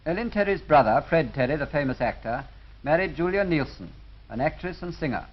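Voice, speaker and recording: A man's voice: a little high, expressive. Dull old-film British man, formal narrator. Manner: formal, measured delivery. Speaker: documentary narrator. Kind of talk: scripted 1930s film narration. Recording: dull lo-fi 1930s film soundtrack.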